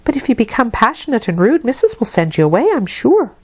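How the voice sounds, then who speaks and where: A woman voicing a brisk, firm nursemaid in a storybook, admonishing a child. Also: a little high, sing-song, slightly rough, no echo, pitched above the performer's own voice.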